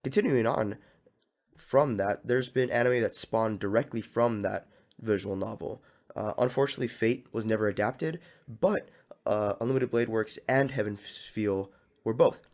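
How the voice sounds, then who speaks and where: A young man hosting a casual solo podcast, his voice a little hoarse and scratchy, rambling easily with lots of "uh"s and restarts. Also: fairly low pitch, moderate pace. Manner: relaxed rambling with many fillers and restarts. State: hoarse, slightly scratchy from coughing. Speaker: podcast host.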